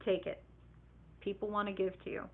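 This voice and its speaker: A woman giving a live-streamed tarot reading in a chatty, animated way, swearing casually and acting out imagined inner voices. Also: mid-range voice, a little fast. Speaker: tarot reader. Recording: tinny phone-like live stream audio.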